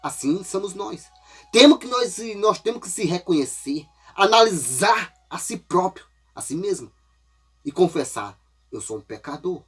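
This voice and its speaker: A man preaches in a folksy, conversational way, his voice congested from a cold. He swoops widely up and down in pitch and sounds husky. Faint music plays in the background. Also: sing-song, measured pace. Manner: folksy, repeats "né?" often. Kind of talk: informal sermon.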